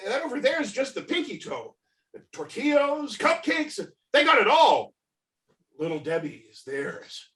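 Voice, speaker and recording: A man plays a two-faced snack company worker in a stage comedy. His delivery is hugely animated and dramatic, jumping between high and low. The sound comes from a distant mic on a video call. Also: a little slow, exaggerated voice. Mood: frantic, dramatic. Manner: dramatic, swooping from shouts to murmurs. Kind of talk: table read of a comedy play.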